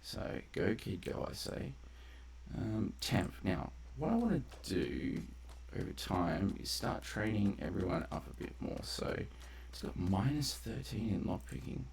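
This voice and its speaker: A man with a very low, gravelly voice talks through a game he is playing. His commentary is casual and unscripted, wandering into detailed explanations of how things work, with noticeable ambient noise behind him. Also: very animated, measured pace, a little mellow. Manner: rambling, tangential, explains game mechanics in detail. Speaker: game streamer and narrator of a playthrough.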